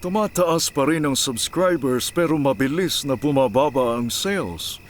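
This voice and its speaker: An actor with a Filipino accent playing a cautious, supportive middle-aged uncle who manages a factory in a radio drama. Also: male voice, mid-range voice, expressive, a little slow, a little hiss or hum, natural voice. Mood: attentive, calm.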